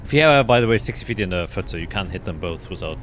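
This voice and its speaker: A man narrating a tabletop fantasy game as game master, in a halting, descriptive storytelling manner. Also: deep voice, expressive, a little hiss or hum. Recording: music playing behind the voice. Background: loud background music.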